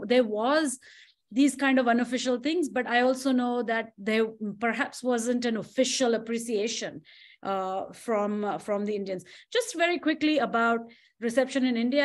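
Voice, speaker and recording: A woman organizer asking a long question on a video call, hesitant with many fillers. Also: on the high side.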